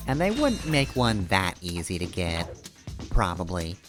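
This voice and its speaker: A man's voice: low voice, wildly swooping pitch, a little slow. A man streaming a video game, giving casual, rambling commentary in a wry, playful way as he muses to himself. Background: video game music.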